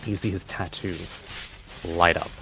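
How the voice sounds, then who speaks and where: A man's voice: sits low, steady tone, unhurried. A man narrating a tabletop fantasy game as game master, with dramatic, descriptive storytelling.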